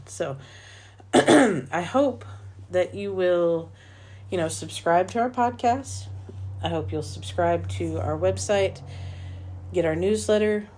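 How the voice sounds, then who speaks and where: A woman hosting a solo faith-based podcast, talking earnestly and encouragingly while hoarse from losing her voice. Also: lowish voice, darkish tone, a little hiss or hum. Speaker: podcast host for a small publisher.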